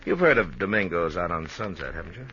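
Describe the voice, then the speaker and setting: Low-voiced, slick American gangster, muffled old radio. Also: male voice, sing-song, fast talker, sharp tone, exaggerated voice. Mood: smooth, goading. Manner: slangy, coolly persuasive. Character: smooth-talking male gambler turned cold, menacing gunman. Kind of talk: 1950s American radio crime drama acting. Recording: muffled, noisy old 1950s radio recording.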